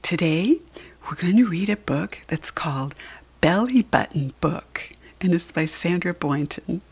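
An American woman reading a picture book aloud to young children, expressive, with a breathy voice. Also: lowish voice, measured pace. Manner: expressive, addressing small children.

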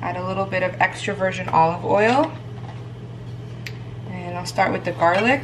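A woman's voice: fairly low pitch, moderate pace, slight background noise, low-quality recording. A woman chatting through her weekly meal prep, explaining each step to viewers as she cooks in her home kitchen. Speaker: cooking vlogger. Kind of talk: casual meal prep narration.

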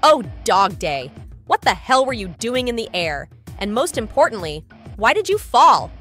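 A woman's voice: on the high side, big pitch swings, moderate pace, a bit bright, soft background music. A woman voicing a moody purple cartoon cat in a comic dub, theatrical and swinging between sweet and snappish. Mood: startled, annoyed. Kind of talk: animated comic dub acting.

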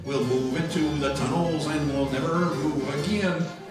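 A man's voice: fairly high pitch, measured pace, lightly acted. Man playing an aggrieved singing farmer, very echoey. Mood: comic grievance. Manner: rhythmic, theatrical singing. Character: aggrieved hillbilly farmer singing his woes. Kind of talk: comic folk song performance. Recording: very echoey room, distant mic, music behind. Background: musical accompaniment.

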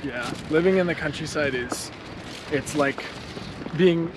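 A man's voice: fairly high pitch, a crowd in the background. A man in a casual, reflective chat as a vlog guest, speaking in a hesitant, thoughtful way. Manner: hesitant, thoughtful, halting phrasing. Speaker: guest on a friend's vlog, musician. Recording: heavy vehicle and outdoor noise behind.

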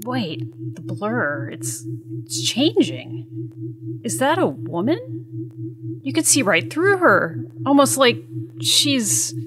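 A woman's voice: fairly high pitch, sing-song, takes it slowly, pauses often, crowd noise. A woman acting in an audio drama as a serious, keen government investigator. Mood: amazed, intrigued.

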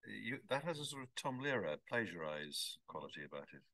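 A man in his sixties chairing a question session after a talk, halting and filling pauses. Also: fairly low pitch, sing-song, vocal fry, bright, forceful tone, no echo. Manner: halting, with fillers. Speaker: event chair.

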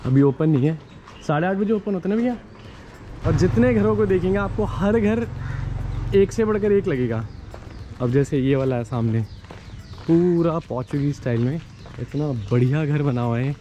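A man's voice: on the high side, expressive, moderate pace, pauses often, dark tone, slight background noise. Chatty man vlogging on a street. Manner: chatty, enthusiastic tour-guide talk mixing English words. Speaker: travel vlogger. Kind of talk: walking travel vlog. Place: outdoors on quiet old town streets. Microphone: handheld camera at arm's length. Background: faint street ambience and vehicles.

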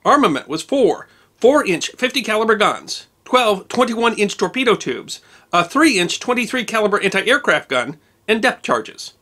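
A man narrating a scripted history documentary, measured and precise with dates and figures. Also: high-pitched, big pitch swings, sharp tone.

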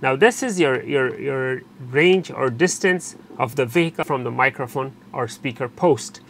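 Male tutorial narrator, average pitch, halting explanatory delivery, recorded with light background noise. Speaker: technician giving a tutorial. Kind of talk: unscripted step-by-step instructional narration. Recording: steady background noise, room ambience.

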